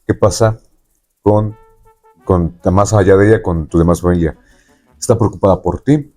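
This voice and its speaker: A man's voice: sits low, fairly even intonation, normal speed, mellow, faint music behind. A man with a Mexican accent hosting a conversational interview, talking in a rambling way and often checking in with tag questions. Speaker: podcast host interviewing a guest.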